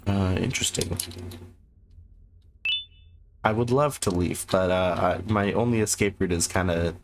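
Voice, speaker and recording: A sick, hoarse man casually commenting on a game he plays, rambling and sarcastic as he reads the game text aloud. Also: deep voice, a little slow, background noise. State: sick, losing his voice. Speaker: gameplay commentator. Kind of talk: casual let's-play commentary.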